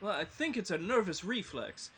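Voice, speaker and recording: A man's voice: high voice, expressive, normal speed, fairly bright tone, slightly rough, full character voice. A man playing a tender-hearted, anxious and weepy tin woodman in a live fantasy table read, fretful and melodramatic. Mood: mournful earnest.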